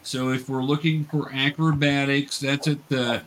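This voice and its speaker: A man's voice: medium pitch, flat delivery, a little slow, rough recording. A man runs a tabletop game in a casual, instructive manner. He narrates scenes and patiently guides new players with encouragement. The recording carries light background noise. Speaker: game master teaching young players.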